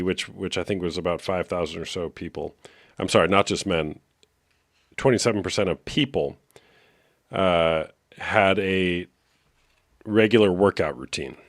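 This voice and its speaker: A man hosting a live call-in show, talking in a relaxed, steady way and asking callers short, direct questions. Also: low-pitched, dry recording, studio quality. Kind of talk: casual call-in talk.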